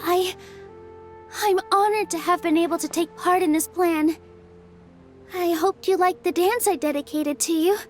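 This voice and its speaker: A woman's voice: extremely high pitch, moderate pace, long pauses, soft background music. A woman voicing a sweet, earnest young dancer in a video game, polite and deferential. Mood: honored, shy.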